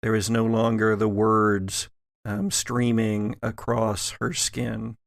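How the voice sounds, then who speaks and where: A man running a horror tabletop game as game master, narrating in deliberate, dramatic short phrases. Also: fairly low pitch, little pitch movement, creaky, no room sound, studio quality. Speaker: game master and podcast host.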